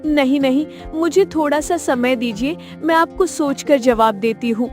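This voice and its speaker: A woman's voice: high-pitched, measured pace, darkish tone, faint music behind. A woman playing an anxious, tender mother goat in a cartoon fable, with an earnest, pleading manner. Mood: gentle, polite. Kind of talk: Hindi cartoon story acting.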